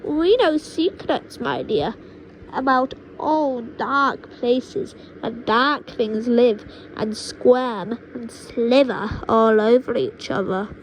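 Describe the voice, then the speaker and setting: A woman voicing a sinister, witchy old grandmother in a storybook, menacing and secretive, with a drawn-out delivery. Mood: menacing, gloating. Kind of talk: storybook character voice in an audiobook reading. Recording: noisy low-quality home microphone.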